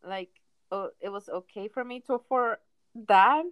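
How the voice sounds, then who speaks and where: A woman's voice: mid-range voice, normal speed, smooth, no room sound. A young woman from Venezuela, a native Spanish speaker with a Venezuelan accent, co-hosting a podcast and teasingly steering the casual chat. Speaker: podcast co-host.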